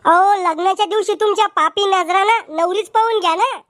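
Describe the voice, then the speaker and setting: A man voicing a cheeky, quarrelsome village cartoon character with a Varhadi Marathi accent and a pitched-up voice. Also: brisk, heavy background noise. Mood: cheeky, sarcastic. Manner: brash, sarcastic, bickering.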